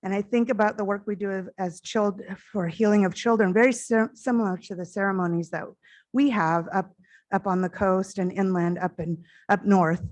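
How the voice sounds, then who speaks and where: A middle-aged woman speaks at a stage microphone to a conference. Her voice is naturally loud and carries well, and she sits at an ordinary pitch for a woman. She talks in an earnest, heartfelt way that sometimes rushes forward in quick bursts. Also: steady tone. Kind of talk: conference keynote address.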